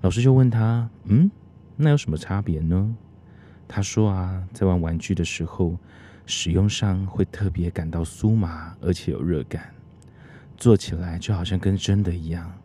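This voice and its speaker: A man's voice: deep voice, normal speed, dark tone, a little hiss or hum. A man hosting a podcast, talking casually in the role of a teacher to his students. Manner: plays a teacher addressing listeners as students. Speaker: podcast host. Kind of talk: casual interview chat with scripted sponsor reads.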